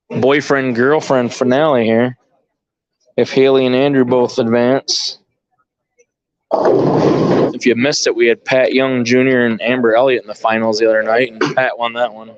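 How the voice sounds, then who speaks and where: Dull lo-fi audio, man, mid-range pitch, informal sports commentary, off-the-cuff delivery. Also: little pitch movement, moderate pace, pauses often, dry recording. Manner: informal, off-the-cuff, thinking aloud. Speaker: bowling tournament commentator and organizer. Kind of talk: casual live sports commentary. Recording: dull, lo-fi live stream audio.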